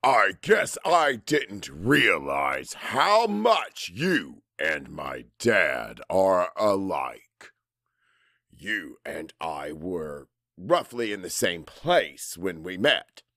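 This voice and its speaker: A disillusioned young man , played by a male actor, speaks very slowly and deliberately in a low voice. He sounds blunt and reproachful, with a lively rise and fall, in a dry audiobook reading. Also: no room sound, heavily acted. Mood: bitter, disappointed. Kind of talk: audiobook character acting.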